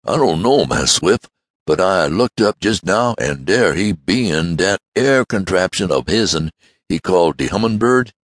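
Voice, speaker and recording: A man voicing an excited aged male servant who talks in folksy dialect. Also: deep voice, unhurried, dry recording, studio quality, voice pushed up. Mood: alarmed.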